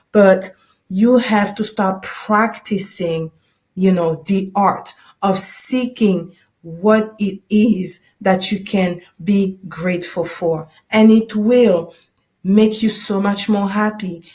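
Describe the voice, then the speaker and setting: A woman's voice: medium pitch, a little mellow. A woman, an English speaker, telling a personal story to listeners as a podcast host in a conversational way, often checking in as she goes. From Democratic Republic of the Congo. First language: not English. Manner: conversational, checking in with "right?" and "you know". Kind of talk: personal testimony told to listeners.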